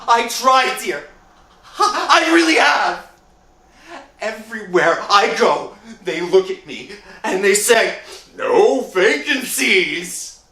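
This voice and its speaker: An actor playing a desperate, haunted unemployed husband in an emotive 1960s radio horror drama style. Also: male voice, sits high, big pitch swings, slow pace, sharp tone, full character voice. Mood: pleading, bitter. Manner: melodramatic, emotive, swinging from murmur to outcry. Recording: roomy old-time radio recording with music.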